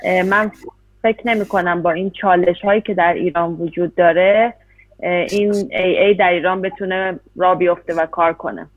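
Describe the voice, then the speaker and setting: Very fast woman interpreter on a tinny line. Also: on the low side, steady tone, no echo. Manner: rapid, hesitant with fillers. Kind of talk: consecutive interpretation. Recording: dry, tinny phone-like sound.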